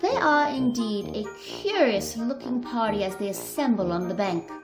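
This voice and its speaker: A woman's voice: on the high side, animated, normal speed, over music. A woman giving scripted storybook narration as a narrator in a radio play.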